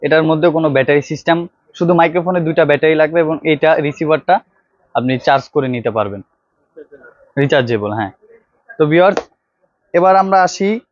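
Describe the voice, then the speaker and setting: A man with a Bangladeshi accent and a slightly high voice gives an informal product demonstration. He talks straight to viewers like a shop salesman. The clip-on mic sound is dry and a little dull. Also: no room sound. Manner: salesman-like, addressing viewers directly. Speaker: electronics shop vlog presenter. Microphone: clip-on wired lapel microphone.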